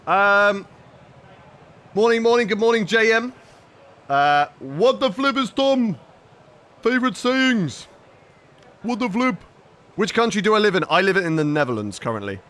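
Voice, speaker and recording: A man's voice: high-pitched, lively intonation, measured pace, long pauses, a bit bright. A young man streaming a racing game, chatting with his viewers and answering their questions as he plays. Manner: chatty, reads and answers chat while playing. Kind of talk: live gaming stream chat.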